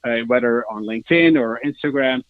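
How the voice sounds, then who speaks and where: A man from the Netherlands with a Dutch accent, answering interview questions as a sales director in a halting, hesitant way. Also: medium pitch, dry recording, narrow, tinny audio. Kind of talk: informal interview answers, product pitch.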